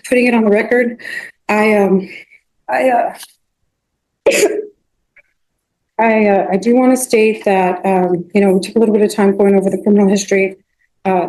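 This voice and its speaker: A woman speaking as a defense attorney in a court hearing, halting and often repeating or restarting her phrases. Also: mid-range voice, a little mellow, low-quality recording. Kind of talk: addressing a judge in a court hearing.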